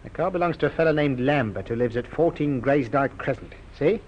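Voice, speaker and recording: A man playing a cocky, smooth-talking car thief and con man in an old radio crime drama, breezy and persuasive. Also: mid-range voice, fairly quick, muffled recording, natural voice. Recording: faintly noisy old radio recording.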